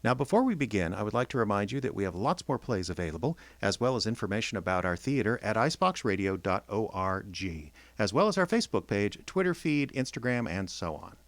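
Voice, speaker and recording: A man hosting a radio theater show, announcing from a script with theatrical, deliberate phrasing. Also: on the low side, big pitch swings, dry recording. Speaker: announcer and host.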